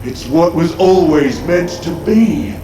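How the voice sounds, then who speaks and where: A man's voice: a little high, lively intonation, slow, dark tone. An actor playing a menacing possessed man merged with an alien horror, taunting and grandiose, in a sci-fi horror audio drama. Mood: zealous, ominous. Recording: roomy echo over music.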